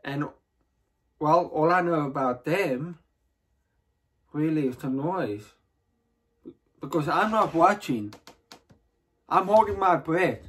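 A man performing a dramatic stage monologue as an anxious, self-conscious dreamer, speaking in halting fragments. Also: fairly high pitch, husky. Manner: halting, short fragmented phrases. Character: anxious, self-conscious dreamer dreading their turn before staring eyes.

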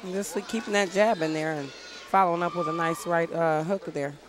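High male voice calling a boxing bout. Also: fairly even intonation, normal speed, background noise. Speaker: boxing commentator. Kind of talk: live sports commentary. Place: ringside at an indoor boxing arena. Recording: loud arena crowd behind voice.

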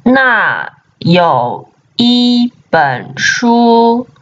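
Very slow woman drilling vocabulary. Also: on the high side, very animated. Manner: slow, deliberate repetition of words for learners. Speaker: language teacher. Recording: dull lo-fi sound.

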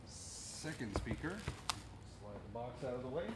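A middle-aged man with an average-pitched voice presents audio gear in a casual unboxing style. He explains things plainly while handling the equipment. The recording is clearly noisy, picked up a few feet from the camera inside a store. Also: expressive, unhurried. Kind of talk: casual unboxing and product demonstration. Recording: noisy room recording with clicks.

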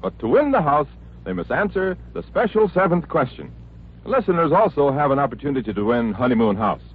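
An American man announces in scripted 1940s radio style. His voice is fairly high and swings widely in pitch. The sound is thin and band-limited, like an old broadcast. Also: very animated, brisk, breathy edge. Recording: tinny, noisy 1940s radio recording.

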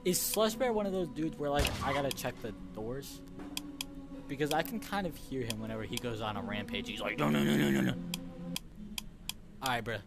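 A man hosting a VR horror let's play, excitable, yelping and shouting when scared. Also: mid-range voice, brisk, vocal fry, long pauses. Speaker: gaming host. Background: game music.

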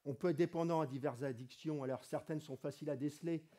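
Hoarse French-speaking preacher with a cold. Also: male voice, a little high, steady tone, quick pace, warm tone. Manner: conversational preaching, reads scripture with rising emphasis. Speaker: pastor. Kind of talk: sermon. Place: church hall with wooden wall, indoors.

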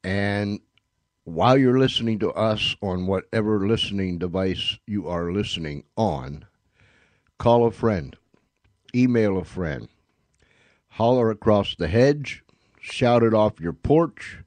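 Low-voiced male radio host, dry sound. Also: expressive, takes it slowly, darkish tone, no echo. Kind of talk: folksy show announcing and sign-off.

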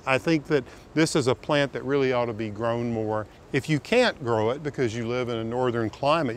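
A man's voice: mid-range voice, slight background noise. A middle-aged man hosts a garden show outdoors at a farmer's market, talking enthusiastically and directly to viewers on a lapel mic. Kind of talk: on-location garden tour narration.

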